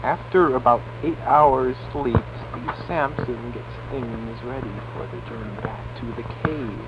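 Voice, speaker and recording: A man reading a scripted amateur audio drama in a formal, recited manner, as narrator and players. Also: medium pitch. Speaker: narrator and reader of parts. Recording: muffled tape with heavy noise and clicks.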